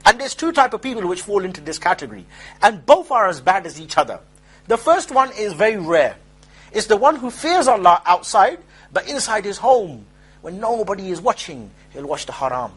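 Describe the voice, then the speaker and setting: Husky guy preaching real fast, high voice, jabby and mocking. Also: big pitch swings, fairly bright tone. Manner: rapid, emphatic, mocking asides. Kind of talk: informal street-style lecture.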